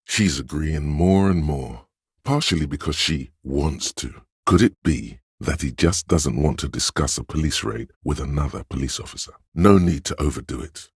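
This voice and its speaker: A man voicing a suave, coaxing inner voice of persuasion in a video game, with a husky, insinuating, knowing delivery. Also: a bass voice, normal speed, no echo, clean studio sound, heavily acted. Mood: measured, observing. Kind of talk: video game voice acting, advisory narration.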